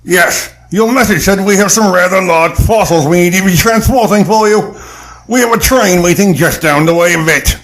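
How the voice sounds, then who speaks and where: A man's voice: sits high, moderate pace, fairly bright tone. A man playing a genial British lord and emissary in an audio drama, formal and politely hesitant.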